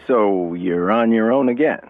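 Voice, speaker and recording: A devout long-term prisoner in a radio drama, steady and admonishing. The voice is very deep and full of gravel, with folksy phrasing. The sound is muffled and lo-fi. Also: male voice, unhurried, faint music behind. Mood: gentle, steady. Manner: plain-spoken, folksy, admonishing.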